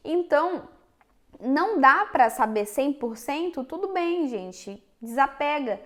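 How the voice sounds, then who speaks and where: A woman's voice: sits high, lively intonation, slow pace, a bit bright. A young Brazilian woman with a Minas Gerais accent, chatting frankly and directly to her viewers in a casual vlog. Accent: Minas Gerais Portuguese. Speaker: vlogger. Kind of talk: casual chat to camera.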